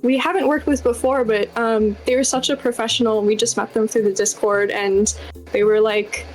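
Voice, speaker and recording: A young woman with a fairly high voice, answering questions in a casual interview. She talks in a bubbly, informal, enthusiastic way, and faint music plays behind her. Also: steady tone, normal speed, low-quality recording. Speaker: game developer guest.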